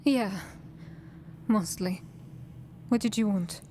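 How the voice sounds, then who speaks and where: A woman's voice: mid-range voice, slow pace, mellow, slight background noise, close to an everyday voice. A woman voicing a guarded, pragmatic drow assassin in fantasy game dialogue, terse and reserved.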